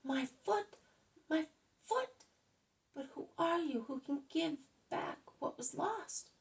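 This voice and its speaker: A woman with a gravelly voice reading aloud, voicing a crippled man who has just been healed and shouts with excited, high, loud exclamations. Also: slow, distant mic, full character voice. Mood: ecstatic.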